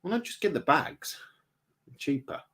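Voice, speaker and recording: A man's voice: fairly high pitch, animated, measured pace, fairly bright tone, slightly rough. A man, co-hosting a livestream with jokey, teasing banter. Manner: joking, teasing. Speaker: livestream co-host. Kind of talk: casual banter.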